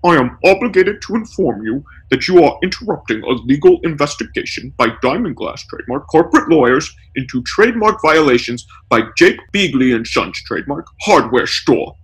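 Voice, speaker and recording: A man voicing pompous, pedantic corporate lawyers in a comic short story, speaking formal, deliberate legalese. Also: fairly high pitch, very animated, fairly bright tone, slightly rough, voice pushed up, full character voice, low-quality recording. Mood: smugly formal.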